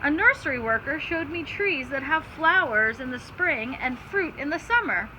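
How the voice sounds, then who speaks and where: A woman's voice: high voice, lively intonation, normal speed. A woman teacher reading aloud to children, stopping to explain simply and put questions to her listeners. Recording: clear background noise.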